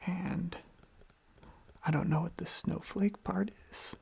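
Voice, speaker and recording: Someone doing asmr, slow breathy whisper rambling, kinda creaky, gentle and repeats stuff. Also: mid-range voice, fairly even intonation. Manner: gentle, soothing, repeats phrases softly. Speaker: ASMR video creator. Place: own room. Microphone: close ASMR microphone.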